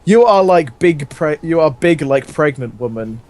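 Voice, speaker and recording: A man's voice: fairly high pitch, animated, a little slow, a little mellow. Man doing a giant stilted robot soldier. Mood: smug. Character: giant smug robot soldier from a space game.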